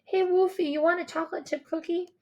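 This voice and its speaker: A woman voicing an eager, pestering little brother in an audiobook, blunt and lively. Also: extremely high pitch, fast talker, pitched above the performer's own voice.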